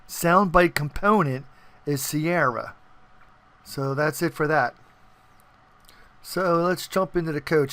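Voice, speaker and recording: A man's voice: fairly high pitch, fairly bright tone. A man hosting a casual screen-recorded coding tutorial, talking informally and often checking in with the listener. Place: indoors, voice-over on screen capture.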